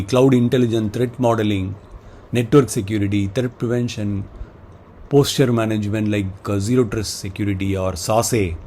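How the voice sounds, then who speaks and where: A man giving an explanatory presentation, speaking in halting phrases and listing items one by one. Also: medium pitch, darkish tone. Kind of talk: explanatory slide presentation, semi-improvised.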